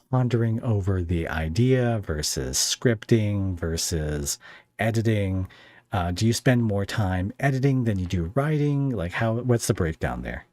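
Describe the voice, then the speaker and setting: A man with a low-pitched voice hosts a relaxed, conversational interview. The sound is dry, with hardly any room in it. His phrasing is somewhat halting as he works out what to say. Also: no room sound. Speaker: podcast host and interviewer. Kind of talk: casual interview.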